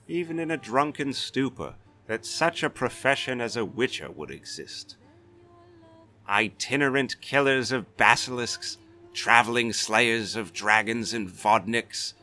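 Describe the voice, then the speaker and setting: A man's voice: medium pitch, expressive, unhurried, bright, forceful tone, soft background music, voice pushed up. A man voicing a blustering, sardonic, authoritative castellan in a fantasy audiobook. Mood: wry, exasperated. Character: blustering, weary-wry male castellan of a fantasy city.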